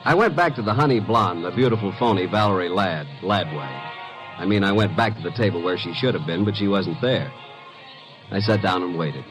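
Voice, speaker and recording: Wisecracking low-voiced radio detective's sidekick. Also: male voice, animated, brisk, loud music behind the voice, low-quality recording. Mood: wry narration. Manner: wry, breezy, slangy. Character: wisecracking, flirtatious detective's assistant who narrates the tale. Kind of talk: old-time radio detective drama acting with narration. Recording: vintage radio drama recording.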